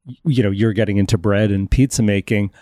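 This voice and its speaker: Mellow-voiced male host, easygoing. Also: fairly low pitch, fairly even intonation, brisk, no room sound, clean studio sound. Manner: friendly, meandering questions. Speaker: show host. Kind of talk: casual interview chat.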